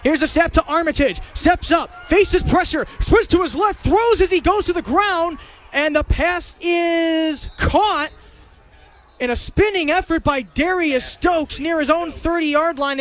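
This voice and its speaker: A man's voice: extremely high pitch, fairly bright tone. A young man doing live radio play-by-play of a football game in vivid, clipped, detailed phrases. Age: young adult. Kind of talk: live play-by-play sports commentary.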